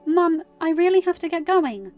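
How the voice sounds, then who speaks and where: A woman's voice: very high-pitched, expressive, normal speed, a little mellow, pitched above the performer's own voice. A woman voicing an irritable, stubborn teenage girl in an audiobook, defensive, whiny and exasperated. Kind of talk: acted audiobook dialogue. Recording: dull lo-fi home recording, music underneath.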